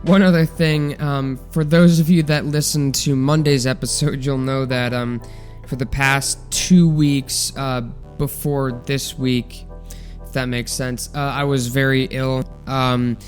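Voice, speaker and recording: A man's voice: mid-range voice, a little slow, soft background music. A young man hosting a solo science podcast, chatting casually with a congested, nasal voice and lots of ums and restarts. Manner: rambling, filler-heavy, relaxed. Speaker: podcast host.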